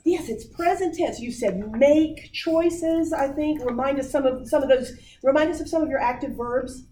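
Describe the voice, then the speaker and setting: A woman leading a writing workshop, coaching people on their book pitches with many fillers. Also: normal speed, warm tone, a little hiss or hum. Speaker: workshop presenter. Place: meeting room. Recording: roomy distant audience recording.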